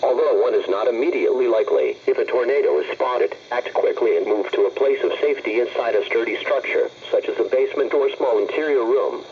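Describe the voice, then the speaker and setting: A synthetic text-to-speech man's voice reading a scripted emergency weather alert in a flat, formal manner over a radio broadcast. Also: medium pitch, fairly quick, hardly any pauses. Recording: muffled weather radio, faint noise.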